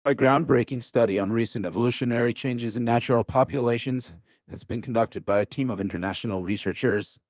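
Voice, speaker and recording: A man's voice: medium pitch, fairly quick, a little mellow, no echo. Dry-sounding man reading aloud. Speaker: exam practice reader. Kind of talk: scripted read-aloud of study passages.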